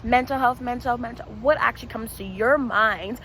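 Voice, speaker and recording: A woman's voice: fairly high pitch, moderate pace, a bit bright, slight background noise. A woman from the Bahamas, a licensed counselor, giving an encouraging, interactive talk to students and repeating points for emphasis. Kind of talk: presentation to students.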